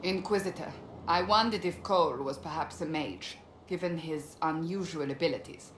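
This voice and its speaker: An actress plays a probing female advisor in a game drama. Her voice is on the low side, serious and direct. It is a roomy recording with faint noise. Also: normal speed, lightly acted. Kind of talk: dramatic video game acting.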